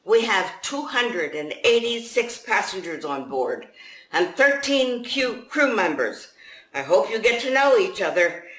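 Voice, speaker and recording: A woman reading the part of a curt ship captain announcing loudly and emphatically to passengers in a story. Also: mid-range voice, very animated, a little slow, voice pushed up. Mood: commanding. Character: ship captain curtly addressing crowded passengers below deck. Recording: echoey room.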